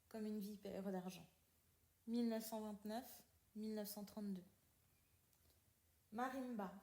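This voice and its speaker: A woman reading literary poetry aloud in a deliberate, declamatory way with measured pauses. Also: fairly high pitch, mellow. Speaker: podcast reader. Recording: roomy, echoing sound.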